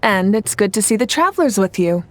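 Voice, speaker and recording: A composed, commanding female leader, voiced by a woman in a game, speaking at a mid pitch. She is measured and reassuring, with quiet authority in every sentence. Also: big pitch swings, no echo, lightly acted. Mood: steady. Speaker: leader of a nation at war. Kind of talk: video game voice acting.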